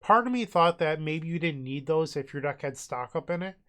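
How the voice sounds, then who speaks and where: A man's voice: a little high, expressive, moderate pace, fairly bright tone. A man with a cold, sounding congested and nasal, casually narrating his online card game move by move as he thinks aloud. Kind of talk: casual gameplay commentary.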